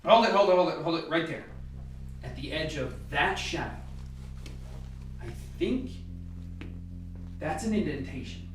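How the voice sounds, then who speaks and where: A woman's voice: low-pitched, expressive, unhurried, long pauses. A woman playing a methodical, skeptical male scientist in a radio play, with a terse, commanding and dry delivery. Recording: very echoey room, distant mic. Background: music.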